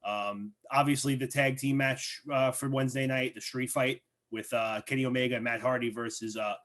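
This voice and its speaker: A man co-hosting a wrestling podcast, speaking in a measured way and hedging his points with fillers. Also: medium pitch, fairly even intonation, a bit bright. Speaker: podcast co-host. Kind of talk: casual wrestling chat.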